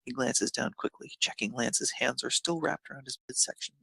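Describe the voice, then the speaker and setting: A man's voice: medium pitch, moderate pace, creaky, sharp tone, slightly rough, no echo. A man narrating a piece of fan fiction, reading it aloud.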